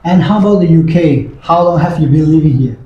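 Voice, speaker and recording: A man's voice: on the high side, brisk, lo-fi sound. A man hosting an interview segment, asking brief prompting questions. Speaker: host. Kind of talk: scripted interview role-play. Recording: echoey room.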